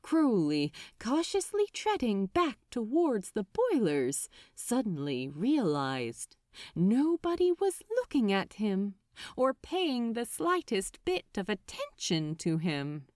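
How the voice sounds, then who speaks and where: A woman narrating scripted fiction dramatically, with theatrical, deliberate, suspenseful phrasing. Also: a little high, huge pitch swings, darkish tone, no room sound, clean studio sound. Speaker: audiobook narrator.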